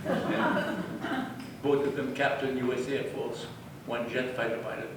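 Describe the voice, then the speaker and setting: A man's voice: medium pitch, big pitch swings, measured pace. An older man from Belgium, with a Dutch-French Belgian accent, telling his wartime eyewitness story to an audience with emphatic, wry humor. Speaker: eyewitness speaker. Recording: distant mic, echoey room, audible noise.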